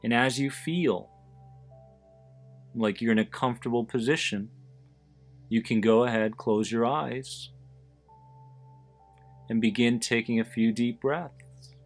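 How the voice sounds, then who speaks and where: A man narrates a guided meditation at a very slow pace, with music playing behind him. He speaks in short, deliberate phrases with pauses between them. His manner is soothing and his pitch sits in the middle range for a man. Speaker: host of an anxiety support podcast, guiding listeners. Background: background music.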